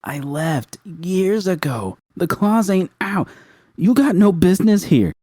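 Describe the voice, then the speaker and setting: A man's voice: sits high, lively intonation, slow pace, mellow, no echo, clean studio sound, pitched above the performer's own voice, exaggerated voice. A man voicing a fierce, defiant young woman who swears in anger at her captors. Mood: defiant, furious.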